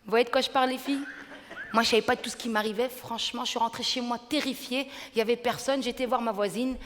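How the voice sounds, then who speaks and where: A woman in her thirties from Belgium doing stand-up comedy on a theater stage, punchy and energetic, acting out family members. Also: on the high side, quick pace, in a large hall, a little hiss or hum.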